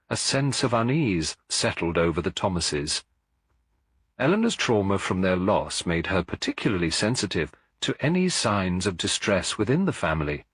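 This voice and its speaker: A man narrating a detective story as a scripted audiobook, in a measured, formal storytelling manner with a husky voice. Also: sits low, fairly bright tone, dry recording, studio quality.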